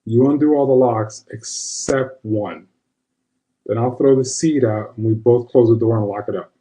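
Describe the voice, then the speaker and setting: A man's voice: medium pitch, moderate pace, dark tone, close to an everyday voice, low-quality recording. A man voicing a no-nonsense older witch grandmother, folksy and commanding, in an audio horror story. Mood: urgent, commanding.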